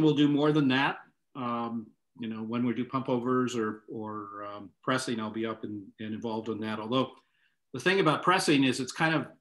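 An older man, a guest on a video call from home, explaining casually with hesitant pauses. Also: mid-range voice, fairly bright tone, poor audio. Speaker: winery owner, interview guest. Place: home room with bookshelves. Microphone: video call microphone.

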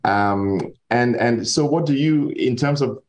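A man's voice: medium pitch, a little slow, muffled recording. A man co-hosting a podcast and teaching anatomy, talking in a friendly way with small laughs between phrases. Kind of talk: casual podcast interview.

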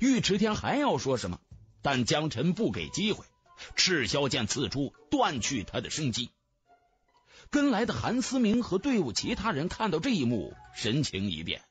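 A man's voice: a little high, huge pitch swings, normal speed. Male narrator for fantasy audiobook: theatrical, hugely varied intonation, faint music bed. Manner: theatrical, storytelling. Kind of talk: dramatic scripted narration of a fantasy novel.

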